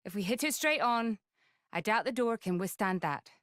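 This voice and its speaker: A woman playing a stern, composed military noblewoman in a game, speaking in a measured, formal way. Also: mid-range voice, animated, no echo, studio quality, lightly acted. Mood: calm, assured. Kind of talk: voiced game cutscene acting.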